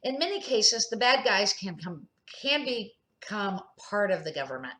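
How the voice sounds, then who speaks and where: A woman's voice: medium pitch, big pitch swings, unhurried, fairly bright tone. A woman reading the part of a kindly older male neighbor who patiently explains things to children in a storybook. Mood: earnest.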